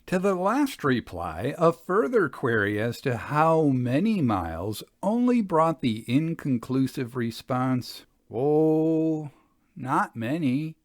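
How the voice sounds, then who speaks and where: A man reading a literary travel tale aloud in a theatrical, expressive way with deliberate phrasing. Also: medium pitch, dry recording, studio quality. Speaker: audiobook reader, storyteller. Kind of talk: dramatic literary narration of a Victorian travel memoir.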